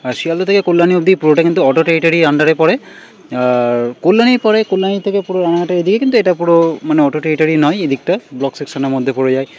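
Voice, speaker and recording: A man's voice: on the high side, animated, rapid-fire, background noise. A man with a West Bengal accent giving casual, informal explanatory commentary as a train vlogger, repeating words for emphasis. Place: outdoors at a railway station.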